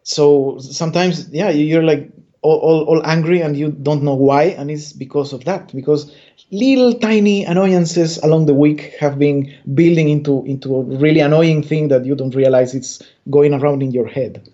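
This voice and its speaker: A man's voice: on the high side, moderate pace, warm tone. Man from Bolivia, stammering, muffled. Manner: rambling, stammers and restarts often. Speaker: interview guest, comic artist. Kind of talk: casual interview chat. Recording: dull lo-fi audio.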